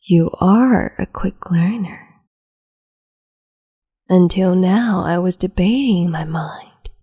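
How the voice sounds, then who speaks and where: A woman playing a cruel, capricious empress in an ASMR roleplay, whispering in a slow, teasing, domineering way. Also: fairly low pitch, mellow, exaggerated voice. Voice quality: breathy whisper.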